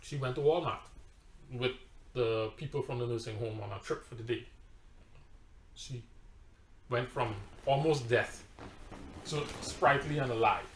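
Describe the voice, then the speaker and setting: A man's voice: mid-range voice, a little slow, long pauses, slight background noise. A pastor with a Trinidadian accent telling a personal testimony in conversation, emphatic and storytelling, sometimes repeating his words. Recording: roomy echo.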